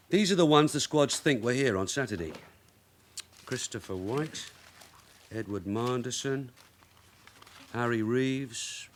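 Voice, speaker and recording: An actor playing a shrewd veteran detective sergeant, wry and blunt, in a naturalistic radio drama. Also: male voice, medium pitch, slight background noise, lightly acted. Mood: deliberate.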